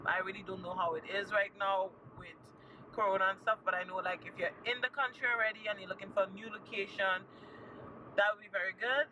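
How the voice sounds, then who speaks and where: A young woman talks chattily to her viewers, leaning on repeated words and cheerful encouragement. Her voice sits some way from the mic, over a loud, steady background noise. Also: mid-range voice, a little fast, far from the mic. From Trinidad and Tobago. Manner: chatty and upbeat, repeats words for emphasis, gives encouraging advice. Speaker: vlogger. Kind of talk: casual chat to camera.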